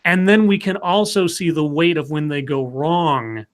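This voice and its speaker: Man, co-host on a casual live comics stream, fairly high voice, rambling delivery full of restarts. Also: unhurried. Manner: rambling, animated, many restarts and fillers. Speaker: podcast co-host.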